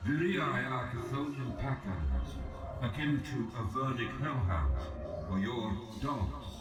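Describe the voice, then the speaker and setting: An actor playing an ancient, regal god in a fantasy video game, speaking in a grave, measured, formal and aloof manner. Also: male voice, mid-range voice, lightly acted. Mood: measured, reflective. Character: ancient, solemn, regal dark god recounting his fallen empire. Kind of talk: scripted game dialogue, slow formal monologue. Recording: roomy echoing voice, distant, music behind.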